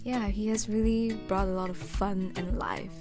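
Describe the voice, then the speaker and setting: A woman's voice: mid-range voice, fairly even intonation, normal speed, music in the background. Friendly young woman, casual tone. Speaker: student friend. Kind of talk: documentary interview.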